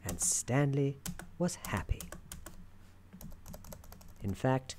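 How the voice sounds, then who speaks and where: A soft-spoken ASMR narrator of unclear sex telling a story in a gentle, deliberate, soothing way. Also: medium pitch, expressive. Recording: keyboard clicks and noise behind. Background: computer keyboard typing.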